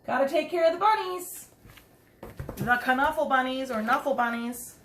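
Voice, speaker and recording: A woman reading a picture book aloud to young children, expressive, with chatty asides and simple questions to her listeners. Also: high-pitched, moderate pace. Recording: roomy echo, some background noise.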